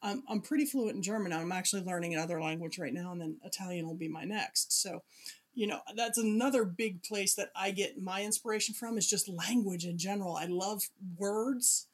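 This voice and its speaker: A woman's voice: medium pitch, fairly quick, slightly rough. A woman talking animatedly and digressively in a casual conversation, often laughing.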